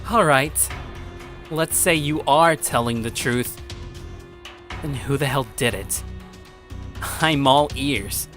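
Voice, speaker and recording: A man acting as a blunt high school boy, narrating his own story and speaking dialogue in an earnest, tough way. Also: takes it slowly, long pauses, a bit bright, faint music behind. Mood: confrontational. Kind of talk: first-person dramatic narration and dialogue.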